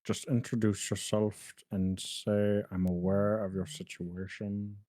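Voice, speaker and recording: A man voicing a stern, formal professor with careful, deliberate enunciation, for a visual novel read-along. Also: fairly low pitch, flat delivery, dark tone, dry recording, lightly acted. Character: stern, formal male professor in a droll impression style.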